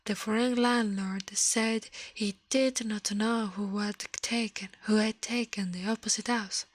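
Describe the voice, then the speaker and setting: A woman reading a story aloud in a gentle, breathy ASMR whisper, halting now and then, close to the microphone. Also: medium pitch, steady tone, no echo. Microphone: close microphone, dry sound.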